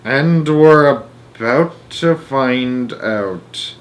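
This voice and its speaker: A man voicing an excitable, eccentric candy maker from a storybook, breezy and theatrical, with whimsical emphatic phrasing. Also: mid-range voice, extremely slow. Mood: gleeful.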